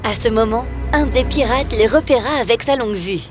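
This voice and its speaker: A woman narrates a children's fairy tale in a high-pitched voice. Her scripted storytelling runs over clearly audible music. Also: brisk.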